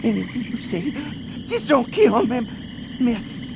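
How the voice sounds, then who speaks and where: Panicky townswoman, game cutscene: high creaky voice, pleading and feisty, noisy ambience. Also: very animated, unhurried, heavily acted. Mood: terrified, pleading. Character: terrified, feisty Cajun townswoman pleading for her life. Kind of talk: video game cutscene acting.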